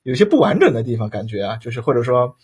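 A man's voice: mid-range voice, big pitch swings, racing, a little mellow, husky. A man hosting a casual live discussion, rambling and repeating phrases as he works toward his questions. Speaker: host.

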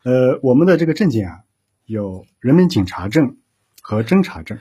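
Very husky male expert guest. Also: mid-range voice, sing-song, moderate pace, dark tone. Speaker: police officer as expert guest. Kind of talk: informal explanatory interview answers.

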